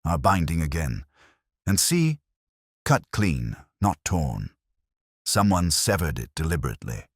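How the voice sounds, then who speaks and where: A man acts a grave Victorian detective in an audio drama. He is calm, exact and commanding, with a husky voice that moves expressively up and down, in a very dry recording. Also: very deep voice, no echo, heavily acted. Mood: quiet urgency. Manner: measured, quiet, incisive.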